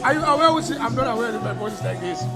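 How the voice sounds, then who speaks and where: A South African man with a gravelly voice, an actor chatting as an interview guest, laughing and repeating words as he thinks. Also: very high voice, expressive, fast talker, over music, crowd noise. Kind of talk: casual interview chat.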